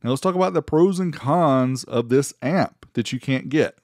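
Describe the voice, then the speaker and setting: A man's voice: medium pitch, moderate pace, darkish tone, no room sound, clean studio sound. A man narrating a casual product review in a conversational, folksy way. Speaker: host of an amplifier review channel.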